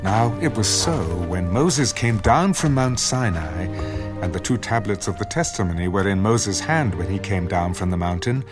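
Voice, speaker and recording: A man narrating dramatized scripture as a scripted reading, in a measured, formal storytelling manner. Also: fairly low pitch, animated, music in the background.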